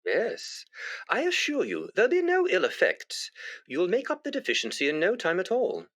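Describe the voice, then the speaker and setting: An actor playing a brisk, patient doctor in a British radio comedy, formal, explanatory and reassuring. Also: male voice, sits high, wildly swooping pitch, a bit bright, full character voice. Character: brisk, patient doctor persuading a blood donor.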